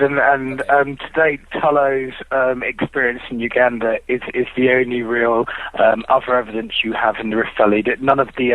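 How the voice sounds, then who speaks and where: Male analyst on a conference call, thin telephone audio, level delivery, courteous and deliberate. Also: medium pitch, no echo. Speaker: financial analyst calling in. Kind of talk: technical question on a conference call. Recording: tinny narrowband phone line.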